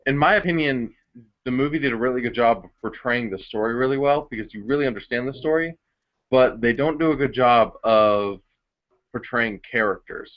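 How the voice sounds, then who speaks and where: A man's voice: medium pitch, a little fast. A man giving direct, opinionated views as a reviewer in a casual group discussion of films. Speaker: film reviewer. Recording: dull lo-fi sound.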